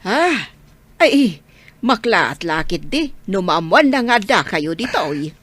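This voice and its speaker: A woman's voice: mid-range voice, sing-song, slow, fairly bright tone, exaggerated voice. A woman with an Ilocano accent acting a suspicious, protective relative in a radio drama. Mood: surprised, relenting. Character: suspicious female relative guarding her niece's land.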